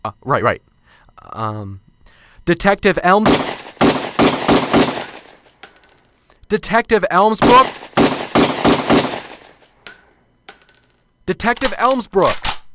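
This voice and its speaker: A man's voice: high-pitched, very animated, takes it slowly, very noisy, heavily acted. A man playing a nervous, unsure professor in a radio drama, stammering and hesitant. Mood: timid. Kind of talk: amateur radio drama acting. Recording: radio drama with music underneath.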